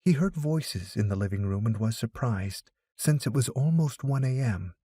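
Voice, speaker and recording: A man's voice: mid-range voice, expressive, moderate pace, warm tone, husky, no room sound, clean studio sound. A man narrating a scripted story as an audiobook reader.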